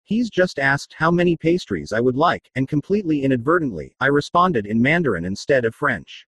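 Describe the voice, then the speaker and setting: Male reporter-narrator, husky tone, lively delivery, explains clearly, dry close sound. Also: medium pitch, brisk, no echo. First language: English. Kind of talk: scripted documentary narration.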